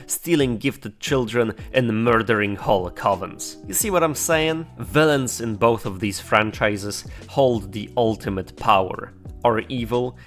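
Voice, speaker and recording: A man delivers a scripted commentary in a wry, opinionated way and swears now and then. His pitch sits in the middle range, and faint music plays underneath. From Poland. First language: Polish. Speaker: video essayist.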